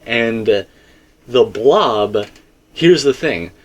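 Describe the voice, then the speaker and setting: A man's voice: medium pitch, lively intonation, very slow, lo-fi sound. Emphatic man talking through theories. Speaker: video game theorist. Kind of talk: casual theory discussion.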